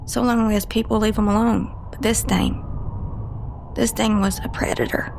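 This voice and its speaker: A woman playing a haunted, guarded cryptid agent in an audio drama, telling her story with halting emotion and broken-off sentences. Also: medium pitch, flat delivery, vocal fry, a little mellow, slight background noise, close to an everyday voice. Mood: uneasy, emphatic.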